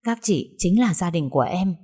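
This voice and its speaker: A woman voicing a gentle younger sister who soothes and pleads with her family. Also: on the low side, lively intonation, moderate pace, slightly rough. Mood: pleading.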